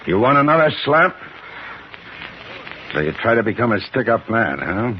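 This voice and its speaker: An actor playing a tough, blustering big-shot New York mob boss, talking slangy and bossy in a 1950s radio drama. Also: male voice, mid-range voice, sing-song, normal speed, close to an everyday voice. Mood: gruff, commanding. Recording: muffled, noisy 1950s radio recording.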